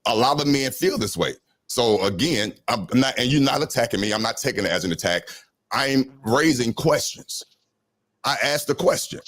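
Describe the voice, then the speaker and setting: Measured, medium-pitched man debating. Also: expressive, fairly bright tone. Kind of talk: live panel debate.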